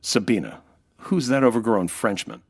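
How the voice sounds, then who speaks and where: An actor playing a wry, hardboiled American nightclub owner, dry and wisecracking, in the manner of old radio noir. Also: male voice, lowish voice, moderate pace, vocal fry, husky. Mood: dry, probing. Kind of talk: old-time radio noir narration and dialogue.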